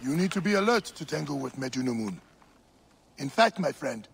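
An actor voicing a jovial, loyal male friend, hearty and gravelly. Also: medium pitch, big pitch swings, normal speed, big-room sound, background noise.

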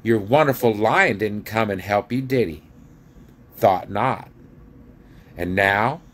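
A man's voice: lowish voice, slow, a bit bright. A man voicing a surly, stubborn, indignant male dwarf in a storybook reading, with a grumbling, scornful, colloquial manner. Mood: disbelieving.

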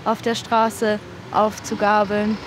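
A woman answering a street interview in a lively, casual way. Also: medium pitch, slow. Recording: noisy outdoor street.

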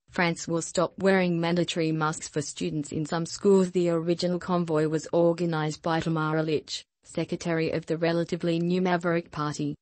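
A woman reading a scripted news report in an even, steady newsreader delivery as a voice-over. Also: low-pitched, no echo. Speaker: news reader.

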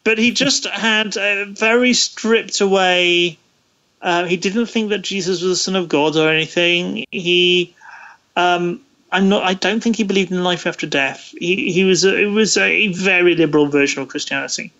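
A man being interviewed, explaining history conversationally in a hesitant, halting way with frequent pauses mid-phrase. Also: high-pitched, pushed and bright, highs cut off. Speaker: guest, interviewee.

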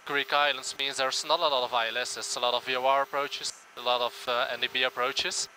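A young man in his early twenties with a very bright, forceful voice at a middle pitch. He speaks informally and earnestly to camera as an airline pilot, pausing and hesitating often. A faint engine hum fills the cockpit around him. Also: little pitch movement, low-quality recording. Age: early 20s. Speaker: airline first officer. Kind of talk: informal talk to camera about his job. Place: small airliner cockpit in flight.

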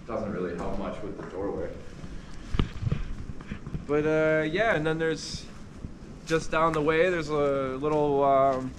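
A man's voice: fairly high pitch, takes it slowly, pauses often. A man giving a casual narration for an outdoor hiking vlog, recorded on a handheld camera. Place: outdoors in bare woods and inside an open wooden shelter. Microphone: handheld 360 camera. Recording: noisy outdoor camera audio.

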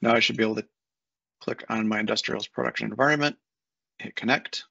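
A man in his thirties walking through a software setup on a screen share, talking casually step by step with fillers, recorded on a desk mic at home. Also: lowish voice, steady tone, quick pace, creaky, breathy edge, dry recording. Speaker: software consultant. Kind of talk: screen-share tutorial walkthrough. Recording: dull lo-fi dry sound.

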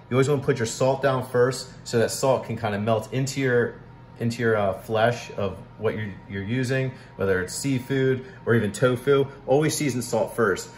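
A man in his thirties giving a relaxed, instructive cooking demo in a home kitchen, with plenty of ums and uhs. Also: mid-range voice, a little hiss or hum. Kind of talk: casual cooking demonstration. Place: large home kitchen, indoors. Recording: echoey kitchen room sound.

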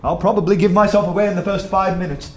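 An actor playing a bold undercover detective in a radio drama, sardonic and animated. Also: male voice, high-pitched, quick pace, close to an everyday voice. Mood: exultant. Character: wry, bold undercover detective posing as a poet. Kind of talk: full-cast radio drama acting.

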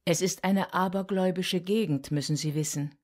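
An actress playing a grave, sorrowful elderly woman reading out her confiding letter in a radio drama, measured and formal. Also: fairly low pitch, darkish tone, close to an everyday voice.

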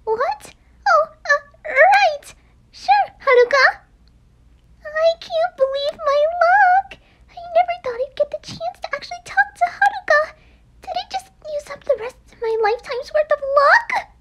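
Woman acting anime schoolgirl, very high voice, stammering, starstruck, gushing, animated swoops. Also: measured pace, slight background noise, exaggerated voice. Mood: giddy. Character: shy, starstruck teenage girl dreaming of idol stardom. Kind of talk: amateur anime game voice acting.

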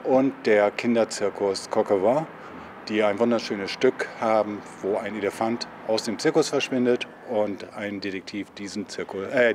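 Older male organiser, interview delivery, lowish pitch, light outdoor background noise. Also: darkish tone. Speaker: children's programme organiser interviewee. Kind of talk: interview statement. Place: outdoors by a garden fence.

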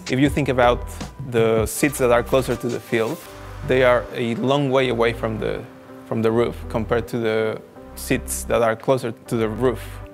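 A man in his thirties giving an explanatory interview in measured, deliberate phrases. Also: mid-range voice, little pitch movement, creaky, faint music behind. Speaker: audio consultant. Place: indoor carpeted function room.

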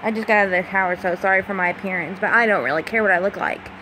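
A woman of twenty-five talking in an emotional, halting way into a phone held close, at home at night. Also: mid-range voice. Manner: emotional, halting, with many pauses and fillers. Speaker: vlogger. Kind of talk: confessional personal vlog. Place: small room at home at night, fan running. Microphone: phone held close to the face. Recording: faint fan hum in a home room. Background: faint fan hum.

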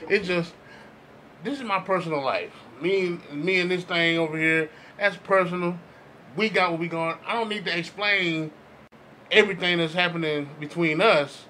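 High-voiced man over clear noise. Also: normal speed, bright, forceful tone. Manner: emphatic, repeats himself. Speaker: podcast panelist. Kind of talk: casual panel debate. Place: small indoor podcast room. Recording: clearly noisy room sound.

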